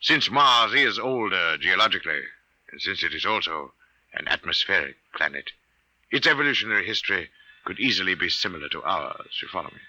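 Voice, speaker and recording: A man's voice: sits low, expressive, brisk, sharp tone, no room sound, exaggerated voice, rough recording. An actor in a 1950s radio drama playing a formal, precise, deadly serious professorial man. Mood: intense, earnest. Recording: vintage 1950s radio recording.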